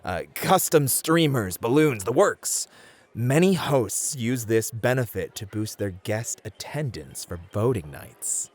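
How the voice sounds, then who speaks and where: An actor playing a beefy, experienced club host, a flirty and politely teasing charmer in a scripted audio roleplay. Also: male voice, medium pitch, expressive, takes it slowly. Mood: composed, explaining.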